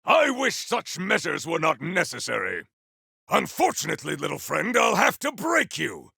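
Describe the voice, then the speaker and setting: Booming, raspy warrior with wild pitch swings. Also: male voice, huge pitch swings, normal speed, bright, forceful tone, no room sound, clean studio sound, exaggerated voice. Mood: grave, measured. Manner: theatrical, declamatory, grandiose. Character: fierce honorable cyborg warrior, bombastic and noble. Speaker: video game voice actor. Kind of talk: video game combat barks.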